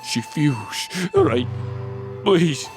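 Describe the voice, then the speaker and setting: Troubled lonely young man, dramatic monologue, slow halting delivery over prominent music. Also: fairly high pitch, huge pitch swings, heavily acted. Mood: desperate, frantic. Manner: deliberate, halting short phrases. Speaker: actor and narrator. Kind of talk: dramatic audio play monologue.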